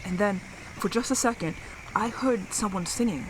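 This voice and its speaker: A woman's voice: mid-range voice, normal speed, natural voice. A woman playing a thoughtful adult survivor sending radio messages in an audio drama, musing and hesitant in an understated way. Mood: puzzled, pensive. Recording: clearly noisy radio transmission with ambience.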